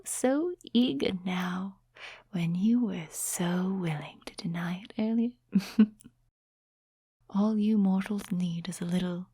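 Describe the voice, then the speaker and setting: A woman voicing a sly, seductive demon in a soft-spoken ASMR roleplay, mostly whispering in a breathy, teasing, condescending way. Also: fairly low pitch, dry recording, studio quality, heavily acted. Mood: smug, teasing. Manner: slow, deliberate, teasing, condescending to mortals. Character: sly, seductive female demon tempting a mortal summoner.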